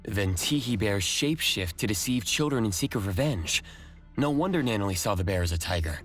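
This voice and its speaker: A calm investigator from a video game with a fairly low voice. He is measured and inquisitive, reasoning things through over faint background music. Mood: probing. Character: calm, analytical male anomaly investigator.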